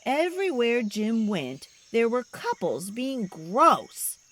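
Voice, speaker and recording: Very animated woman reading a picture book. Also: a little high, takes it slowly, a little hiss or hum. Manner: animated, dramatic storytelling. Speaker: read-aloud storyteller. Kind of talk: expressive children's picture book narration.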